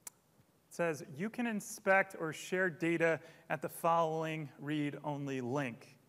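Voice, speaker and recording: A man's voice: fairly high pitch, slow pace, fairly bright tone. A man in his thirties presenting a product launch keynote on a large auditorium stage, upbeat and theatrical. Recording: long hall reverb.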